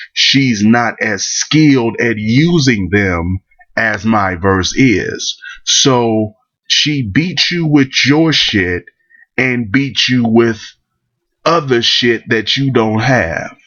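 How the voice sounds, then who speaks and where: A man's voice: on the low side, fairly bright tone, muffled recording. A man with a cold, rambling bluntly through his opinions in a casual monologue. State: catching a cold. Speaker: vlogger giving battle rap opinions.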